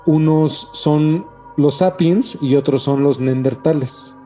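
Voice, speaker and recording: A man's voice: on the high side, slow, dark tone. A man with a Mexican accent co-hosting a podcast, chatting casually with plenty of slang and swearing. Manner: slangy, profane. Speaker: podcast co-host. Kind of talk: casual chat. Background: music.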